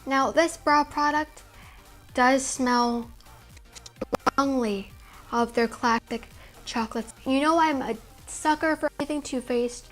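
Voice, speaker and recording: A woman's voice: high voice, slow pace, pauses often, faint music behind. A young woman casually and enthusiastically reviewing her favorite skincare and makeup products at home. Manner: enthusiastic, gushing about products. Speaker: beauty vlogger, daughter. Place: indoor home room with string lights.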